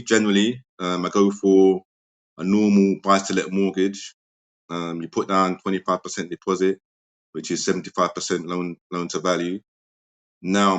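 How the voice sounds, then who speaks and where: A man with a very low, deep voice talks through his property investing approach. He is methodical and unhurried, stopping often on "um" as he lays out the numbers. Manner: steady, methodical, many ums. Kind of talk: explaining a property strategy. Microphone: remote audio-chat connection.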